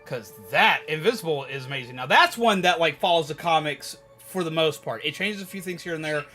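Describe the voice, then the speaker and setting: A man livestreaming, chatting casually and rambling to viewers in an animated, emphatic, opinionated way. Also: fairly high pitch, a little fast, faint music behind. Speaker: live streamer. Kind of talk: casual rambling chat with viewers while gaming.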